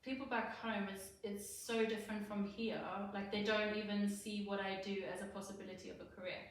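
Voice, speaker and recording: A chatty young woman from southern Germany talks about herself in a relaxed interview. She is heard from some distance in a bare, echoing classroom. Faint room ambience hums underneath. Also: medium pitch, fairly quick, slight background noise. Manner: chatty, laughing, many fillers. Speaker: singer, guest. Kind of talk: casual interview chat. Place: school classroom, indoors. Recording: echoey classroom, far from mic.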